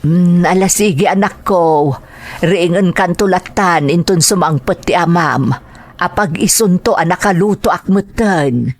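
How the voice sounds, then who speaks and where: A woman with a Filipino accent playing a warm, attentive mother of a grown son in an audio drama. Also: on the low side, measured pace. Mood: affectionate.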